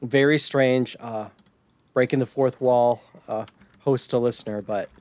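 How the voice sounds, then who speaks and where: An American man chatting casually as a podcast host, somewhat halting when he describes things. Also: medium pitch, fairly even intonation, darkish tone. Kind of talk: casual podcast chat about retro gaming.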